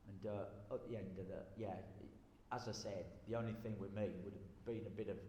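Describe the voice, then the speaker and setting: A man's voice: fairly low pitch, fairly even intonation, fairly quick, dark tone. A middle-aged man reminisces casually in a rambling way, repeating his phrases as he goes. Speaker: interviewee, former pro boxer and amateur coach. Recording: echoey hall with faint background noise.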